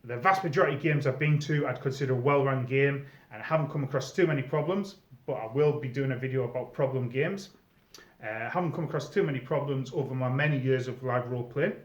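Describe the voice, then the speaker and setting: A man's voice: mid-range voice, fast talker. British man vlogging in an echoey room. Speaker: video host, experienced live role-player. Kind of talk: informal advice vlog talking to camera. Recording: roomy echo, slightly dull sound.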